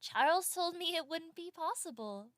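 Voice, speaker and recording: An actress playing a bubbly, friendly young castle worker in an audio drama, in an exclaiming manner. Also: female voice, very high-pitched, animated, moderate pace, a bit bright, dry recording, studio quality. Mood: cheerful.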